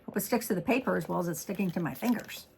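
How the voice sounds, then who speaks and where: Chatty woman crafter, voice a bit hoarse. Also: lowish voice, very fast, noisy background. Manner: chatty, walks through each step. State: losing her voice, throat clearing. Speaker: card-making demonstrator. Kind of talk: casual crafting tutorial.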